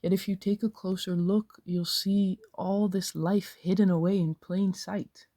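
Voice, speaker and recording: A woman giving first-person interview answers in a steady, measured reading. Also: mid-range voice, no room sound, studio quality.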